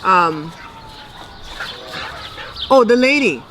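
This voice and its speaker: A woman's voice: mid-range voice, expressive, extremely slow, a bit bright. A middle-aged woman reading tarot on camera in a casual, colloquial, teasing way. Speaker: tarot reader and video host. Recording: noisy outdoor recording with animal sounds.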